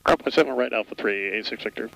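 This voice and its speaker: A man's voice: lowish voice, fast, creaky, a bit bright, poor audio. A man, a light aircraft pilot, talking casually and making radio calls on a cockpit intercom.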